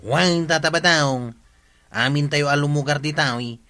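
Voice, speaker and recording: A firm village councilor with an Ilocano accent. His voice is fairly high and forceful, delivered as radio drama dialogue. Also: moderate pace, soft background music. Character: firm male village councilor explaining the rules.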